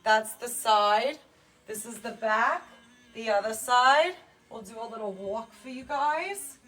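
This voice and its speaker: A woman with a New Jersey accent talks casually to her livestream viewers, joking and calling out names. Her voice is bright and forward. Faint music plays behind her. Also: a little high, lively intonation, takes it slowly. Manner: chatty and joking, greets viewers by name. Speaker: fitness livestreamer. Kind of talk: casual live-stream chat.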